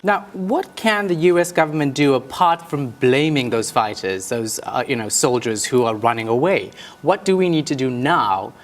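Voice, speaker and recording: A man anchoring and reporting the news in a crisp, measured broadcast delivery. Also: mid-range voice. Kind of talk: scripted news presenting.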